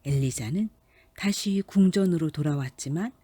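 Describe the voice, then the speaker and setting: A woman with a soft, mellow, slightly low voice narrates a children's fairy tale. She reads carefully and expressively in a dry, close recording. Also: moderate pace, breathy edge, no echo. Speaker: storyteller.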